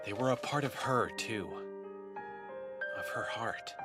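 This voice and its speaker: Young male anime hero played by an actor, medium pitch, expressive, gentle, earnest, resolute, loud music behind. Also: measured pace, close to an everyday voice. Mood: earnest, reproachful.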